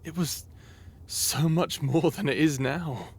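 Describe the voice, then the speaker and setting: A man playing a devoted, weary but steadfast human in an intimate audio drama, speaking in a heartfelt, deliberate way. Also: on the high side, breathy edge, full character voice. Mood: wistful, weary.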